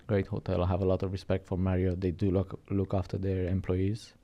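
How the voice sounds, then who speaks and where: A man's voice: low voice, monotone, fairly quick, dark tone, dull audio. A man from Romania with a Romanian accent, chatting as a podcast guest and telling stories about his career, often restarting and adding "right?". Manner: chatty, storytelling, frequent restarts and "right?" tags. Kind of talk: casual interview chat.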